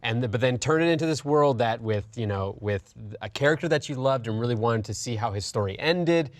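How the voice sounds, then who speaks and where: A man's voice: mid-range voice, animated, fairly quick, no room sound. A man talking casually and with firm opinions as a panelist on a discussion show. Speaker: panelist on a film and gaming talk show.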